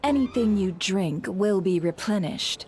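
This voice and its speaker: Woman as formal poised hostess lady in a video game, middle pitch, teasing, music playing behind. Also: animated, darkish tone, natural voice. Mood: composed, grand. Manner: formal, measured.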